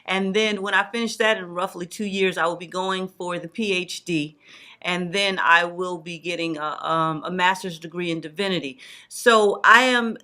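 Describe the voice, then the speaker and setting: A woman's voice: on the low side, moderate pace, sharp tone. A woman in her forties giving personal testimony as an evangelist, with emphatic, repeated religious phrasing. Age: 40s. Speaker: guest evangelist and student.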